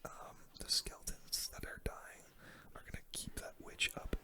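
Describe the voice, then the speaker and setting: A person giving casual, slangy ASMR gaming commentary in a soft, unvoiced whisper. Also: moderate pace. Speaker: gaming video host.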